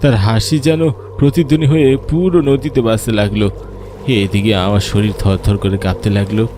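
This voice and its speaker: A man's voice: on the low side, fairly even intonation, normal speed, noisy background. A man narrating a scripted first-person horror story in a dramatic, suspenseful way.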